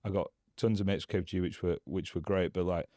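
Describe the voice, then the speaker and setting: A man's voice: low voice, steady tone, fast, warm tone, dry recording, clean studio sound. A young man with a northern English accent, chatting as a guest in a casual interview, rambling with lots of fillers and restarts. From Yorkshire, England.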